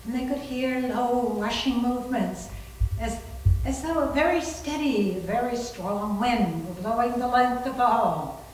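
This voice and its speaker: A woman's voice: fairly high pitch, measured pace. A woman narrating a scripted suspense story as part of a readers theater. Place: reverberant room at a library reading. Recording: very echoey, distant, noisy.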